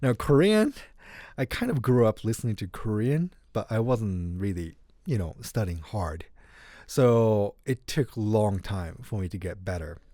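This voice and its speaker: Mellow low-voiced man, Japanese accent. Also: dry recording, studio quality. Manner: relaxed, unhurried, light grammar slips. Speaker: guest musician. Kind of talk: casual interview storytelling.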